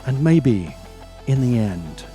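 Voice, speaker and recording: A man delivers scripted essay narration in a mellow, relaxed tone. His pace is unhurried and deliberate, with emphatic phrasing that leaves dramatic pauses. Faint music plays behind his voice. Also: medium pitch. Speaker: video essayist and host.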